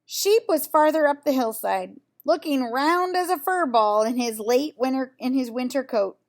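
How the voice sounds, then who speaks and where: A woman reading a children's storybook aloud in an expressive, deliberate way. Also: high-pitched. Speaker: storybook reader. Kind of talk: children's read-aloud narration with brief asides.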